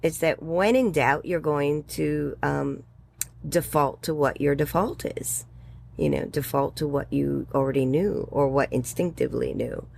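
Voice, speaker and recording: A woman's voice: deep voice, measured pace, creaky, a little mellow, slight background noise. A woman in her sixties, a guest educator talking through her thoughts in an interview with frequent ums and little laughs. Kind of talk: conversational interview.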